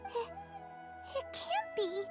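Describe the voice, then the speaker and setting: A woman voicing a small, childlike fairy companion in an anime video game, squeaky, kind and earnest. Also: sing-song, slow pace, over loud music, heavily acted. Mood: concerned. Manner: earnest, reassuring.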